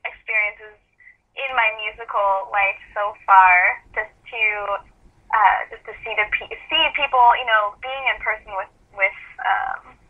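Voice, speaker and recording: A woman singer chatting as an interview guest, effusive and giggly, over a tinny phone line. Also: medium pitch. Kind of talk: casual interview chat.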